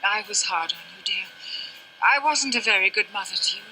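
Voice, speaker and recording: A woman playing a sharp-tongued, weary, dying older mother in a video game, with haughty, theatrical, genteel phrasing. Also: medium pitch, animated, fairly quick, slight background noise, low-quality recording. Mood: regretful. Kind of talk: dramatic scripted game dialogue.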